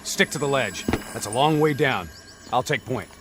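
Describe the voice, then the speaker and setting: An actor playing a gruff, wary special forces soldier in a video game, terse and sardonic. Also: male voice, medium pitch, lively intonation, normal speed, noisy background, lightly acted. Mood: terse, cautious. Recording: loud game music behind the voice.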